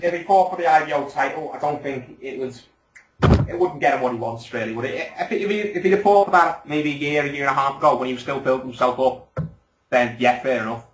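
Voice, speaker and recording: A man's voice: mid-range voice, fairly quick, echoey room, a little hiss or hum, rough recording. A man hosting a casual podcast chat, rambling through his opinions and often asking for agreement. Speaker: podcast host.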